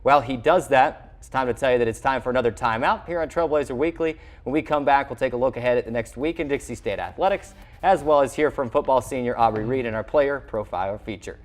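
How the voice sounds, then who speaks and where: A man's voice: medium pitch, fast talker. A man hosting a local sports talk show, interviewing a guest in a broadcaster's conversational style.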